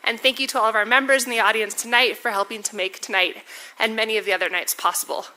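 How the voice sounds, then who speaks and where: Lady doing the intro to a crowd, brisk, kinda out of breath, bright voice. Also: a little high, steady tone, low-quality recording. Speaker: event presenter. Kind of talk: introductory remarks. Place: auditorium before a live audience.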